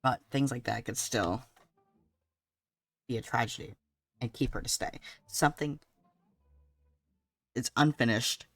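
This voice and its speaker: A woman's voice: extremely low pitch, measured pace, pauses often, fairly bright tone, no echo. A woman streaming a game, chatting to her audience in a rambling, slangy, giggly way as she reacts. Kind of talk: casual live reaction commentary to chat.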